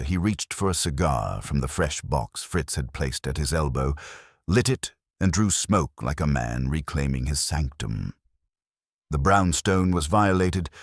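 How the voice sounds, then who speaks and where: A man's voice: very deep voice, gravelly, husky, dry recording, clean studio sound. A man reading a first-person detective story as an audiobook narrator.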